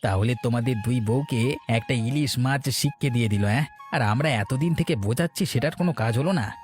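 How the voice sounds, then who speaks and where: A man's voice: mid-range voice, fairly quick, soft background music, close to an everyday voice. A man playing a reasonable, advice-giving husband in a Bengali cartoon. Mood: approving, advising. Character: moralising, reasonable husband of a jealous wife.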